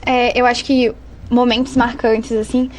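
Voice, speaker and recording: High-pitched young Brazilian woman, noisy background. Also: flat delivery, unhurried. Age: young adult. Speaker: advertising student, podcast guest. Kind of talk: casual conversational storytelling.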